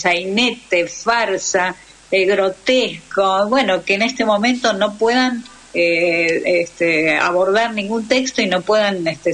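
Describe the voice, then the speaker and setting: A woman's voice: mid-range voice, a bit bright, rough recording. A woman with an Argentine accent talking conversationally as a radio interview guest, explaining and often checking with tag questions.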